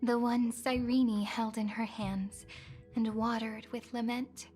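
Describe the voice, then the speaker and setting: A woman voicing a gentle, wistful young woman in a game, speaking softly in a breathy, half-whispered way. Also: on the high side, little pitch movement, slow, soft background music. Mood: tender. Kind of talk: game voice acting.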